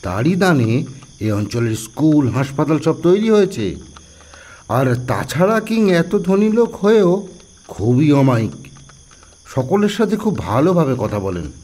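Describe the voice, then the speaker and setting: A man voicing a talkative, friendly old village coachman with a husky voice in an audio story. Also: on the high side, very animated, unhurried, pauses often, a little mellow. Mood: chatty, admiring.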